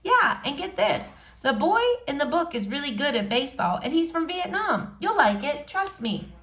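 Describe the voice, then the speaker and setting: Woman putting on a high, perky schoolgirl. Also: very animated, moderate pace, a bit bright. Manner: chatty, upbeat.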